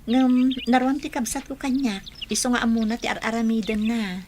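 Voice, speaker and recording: A woman's voice: fairly high pitch, steady tone, normal speed, natural voice. A woman playing a hospitable village hostess in a radio drama. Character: hospitable village woman hosting her guests. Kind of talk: Ilocano radio drama acting. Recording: noisy bed of bird and insect sounds.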